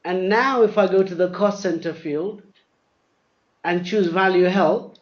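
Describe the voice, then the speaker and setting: A man presenting a live software demo, explaining step by step, often repeating words and spelling things out. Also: high-pitched, measured pace. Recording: dull, lo-fi webinar audio.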